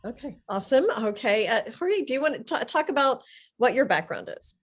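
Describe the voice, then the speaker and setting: A woman's voice: a little high, big pitch swings, brisk. A woman moderating a live panel webinar, upbeat and loose, with fillers and restarts.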